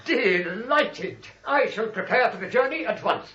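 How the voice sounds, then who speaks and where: An actor playing a hearty, deluded man who thinks he is President, pompous and booming, in a 1940s radio comedy on a vintage recording. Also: lively intonation, unhurried, pushed and bright, muffled recording, exaggerated voice. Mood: eager, pompous.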